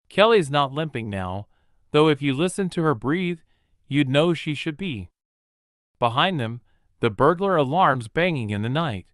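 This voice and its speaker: A man's voice: medium pitch, fairly bright tone, no room sound. A man narrating a horror novel in a vivid, present-tense storytelling style, recorded close to a studio mic. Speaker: audiobook narrator.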